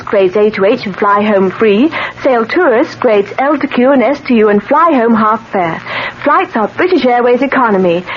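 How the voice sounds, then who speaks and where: A woman reading a scripted 1970s radio advertisement in a deliberate announcer style, on a muffled recording. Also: on the high side, slight background noise. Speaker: commercial announcer.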